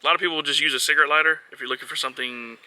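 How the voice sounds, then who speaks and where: A man's voice: a little high, steady tone, very fast, sharp tone, a little hiss or hum, poor audio. A man talking informally as he works through a hands-on installation, chatting to viewers in a casual how-to vlog style and thinking aloud. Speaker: vlogger and trucker showing a DIY install.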